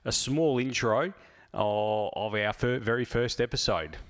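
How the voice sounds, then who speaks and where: A man with an Australian accent hosting a casual motoring podcast, talking in a relaxed, rambling way with fillers and restarts, recorded in a small home office. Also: mid-range voice, unhurried. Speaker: podcast host.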